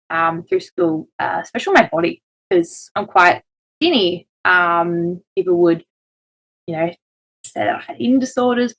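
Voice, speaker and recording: A woman's voice: fairly low pitch, gravelly, rough recording. A woman with an Australian accent, a guest on a podcast, telling personal stories in an earnest, open way. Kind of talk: casual chat.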